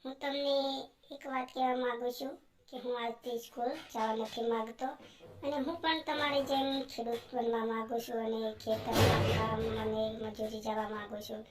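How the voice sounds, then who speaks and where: An earnest young village farm boy, voiced by a woman, with a fairly high voice. He speaks as a devoted, humble lad in a cartoon story. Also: flat delivery, moderate pace, lightly acted.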